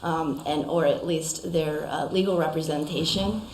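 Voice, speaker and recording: A woman chairing a town council meeting, polite and halting, with many ums and bursts of quicker speech. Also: sits low, fairly even intonation, a little mellow, roomy sound, a little hiss or hum, low-quality recording.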